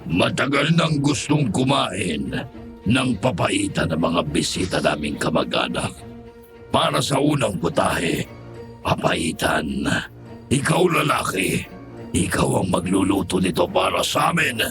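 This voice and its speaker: Cruel male monster master, gravelly, swooping delivery, horror audio drama, music underneath. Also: low-pitched, big pitch swings, full character voice. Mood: gloating menace. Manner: slow, menacing, mock-polite. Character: cruel, taunting male aswang lord of a mansion.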